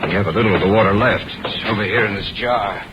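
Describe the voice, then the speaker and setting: A troubled research biologist of 1950s radio drama, played by a male actor, talks in a very deep, weighty and earnest voice. The recording is dull, with clear noise over it. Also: quick pace, close to an everyday voice. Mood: insistent, grave. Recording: muffled, noisy old radio recording.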